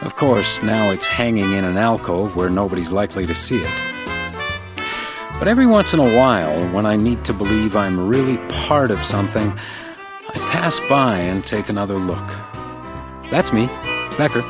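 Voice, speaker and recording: A man's voice: on the low side, expressive, moderate pace, over loud music, lightly acted. An actor playing a wry, observant male hotel detective in a radio drama, narrating in understated first person. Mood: reflective, wry.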